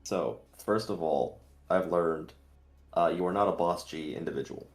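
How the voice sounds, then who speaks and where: A man's voice: deep voice, moderate pace. A man who tutors calculus, joining a stream over voice chat and joking in a laid-back way. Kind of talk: casual voice chat.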